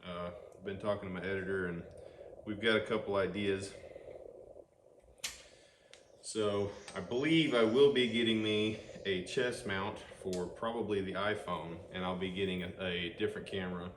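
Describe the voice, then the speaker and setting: A loud, low-voiced man talks casually to the camera as he works on an engine. He is recorded on the camera's own microphone in an outdoor garage. The sound is roomy, with steady workshop noise behind him. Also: moderate pace. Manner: chatty, talks while working on an engine. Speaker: channel host. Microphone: camera microphone, no external mic. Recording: roomy, noisy workshop ambience.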